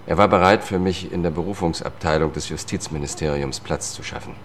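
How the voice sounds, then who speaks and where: An actor playing a weary, disgraced middle-aged lawyer who tells his story in a measured, understated way in a German radio drama. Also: very deep voice, slight background noise. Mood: wry, confiding. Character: weary disgraced Washington lawyer, confiding and haunted. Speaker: first-person narrator and lead character. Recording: studio radio play with music.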